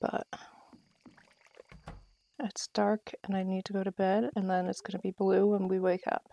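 Woman, game streamer. Soft-edged tone with vocal fry, playful, off-the-cuff commentary to herself and viewers. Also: mid-range voice, little pitch movement, a little slow, no room sound, highs cut off. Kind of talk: casual live gameplay commentary.

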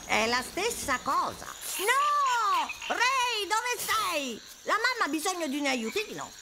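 A woman voicing an eccentric, bossy old wise woman of the swamp in an animated video game, theatrical and cackling. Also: extremely high pitch, takes it slowly, full character voice. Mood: bossy, playful. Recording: loud background noise, swamp insect ambience.

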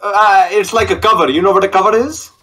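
A young man on a video call through a gaming headset, joking and boasting in a loud, cocky way. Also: sits high, fairly even intonation, brisk. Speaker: online date contestant. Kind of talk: casual video call banter.

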